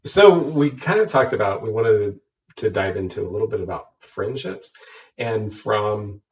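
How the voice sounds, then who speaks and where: Nasal man with a cold, low voice. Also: animated, a little slow, distant mic. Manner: joking, teasing. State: has a head cold, extra nasal. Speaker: podcast co-host. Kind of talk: casual chat. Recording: dull lo-fi sound from off mic, faint hiss.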